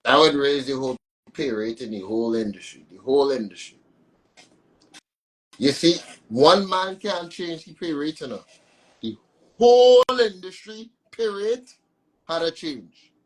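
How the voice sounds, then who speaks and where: Animated Vincentian man, higher voice. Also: measured pace, long pauses, rough recording. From Saint Vincent. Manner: animated, repeats words for emphasis. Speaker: soca artist guest. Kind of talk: casual interview chat.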